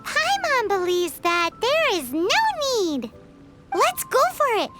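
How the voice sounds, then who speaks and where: A tiny floating fairy girl, with a very high, bouncy voice that leaps all over the place. She is chatty and a little bossy, pouts easily and calls herself by her own name. Game music plays behind her. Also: huge pitch swings, slow pace, fairly bright tone, full character voice. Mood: chirpy. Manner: refers to herself in the third person, pouty and chirpy. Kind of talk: video game dialogue.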